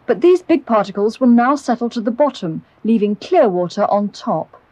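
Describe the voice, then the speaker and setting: A British woman reading scripted narration for an educational film, explaining in a clear, measured way. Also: on the high side, lively intonation, darkish tone, no room sound, dull audio. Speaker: documentary narrator. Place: close, dry voice-over recording.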